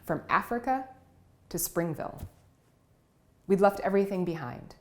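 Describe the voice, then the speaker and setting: A woman's voice: medium pitch, normal speed. Woman telling her life story on stage. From Cameroon and Iowa. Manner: warm storytelling, vivid and expressive. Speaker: keynote speaker and writer. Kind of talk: personal storytelling speech.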